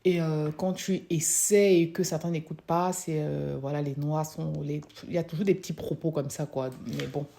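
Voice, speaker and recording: A young woman from Africa, a social work student, giving a hesitant personal testimony with many pauses and restarts. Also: deep voice, fairly even intonation, vocal fry, dark tone, a little hiss or hum.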